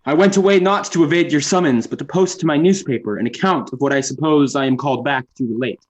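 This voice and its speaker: An actor playing a proud, defensive young writer giving formal, precise testimony in an old-time radio drama. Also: male voice, on the high side, fast talker, lightly acted. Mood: defensive, resentful. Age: young adult.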